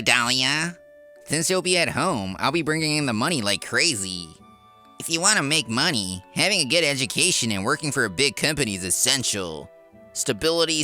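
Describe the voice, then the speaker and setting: A man acts out a spiteful, smug little brother in a manga story. His voice is very sharp and forceful, with lively swoops. He sneers and brags, with music underneath. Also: medium pitch. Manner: boastful, sneering. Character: smug, spiteful young salaryman younger brother. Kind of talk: manga voice acting. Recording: music behind the voice.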